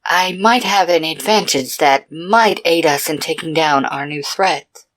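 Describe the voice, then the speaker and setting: A serious guardian woman acted by a woman with a lowish voice, in a fan audio drama. The delivery is blunt and deliberate, with some unease. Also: a bit bright. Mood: serious, uneasy.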